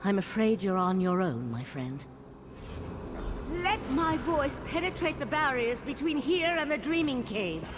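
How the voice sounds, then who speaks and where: A woman's voice: a little high, sing-song, measured pace, noisy background. An actress playing an urgent, resolute female mystic in a fantasy video game, speaking in a theatrical, incantatory way. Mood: strained, commanding.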